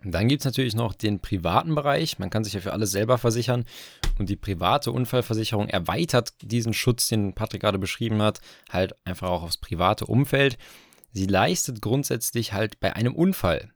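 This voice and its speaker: A man co-hosting a podcast, explaining topics in a brisk, casual way and laying out definitions slowly and deliberately. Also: on the low side, vocal fry, dry recording, clean studio sound. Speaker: podcast co-host.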